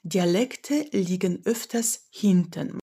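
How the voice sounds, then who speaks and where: Slow, husky Polish-accented woman. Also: fairly low pitch, warm tone. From Poland. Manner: measured and instructive, demonstrates examples. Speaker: voice coach. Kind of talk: slow, deliberate expert explanation.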